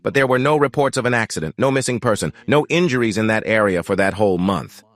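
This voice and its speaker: Expressive male story narrator, dry sound. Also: fairly low pitch, fast talker, no room sound.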